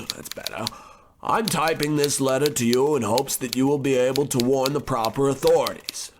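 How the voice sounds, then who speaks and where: A male actor plays an earnest, slightly unhinged gentleman in a parody of old radio drama. His voice is mid-pitched, wordy and fussy, with clear noise and typing clicks behind it. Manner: wordy, fussy, self-correcting. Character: earnest, rambling, unhinged well-educated gentleman of 1920s.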